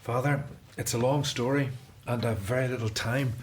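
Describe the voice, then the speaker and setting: A man plays a runaway Hebrew prince in a radio drama. He speaks with devout earnestness and respect. His pitch is average for a man. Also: steady tone, moderate pace, lightly acted. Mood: earnest, solemn. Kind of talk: scripted radio drama acting.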